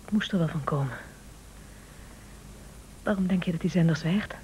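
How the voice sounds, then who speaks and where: A woman's voice: fairly low pitch, moderate pace, dark tone, slight background noise, natural voice, low-quality recording. A woman acting a shrewd, practical wife and partner in a Dutch-language radio play, matter-of-fact and directive. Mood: tense.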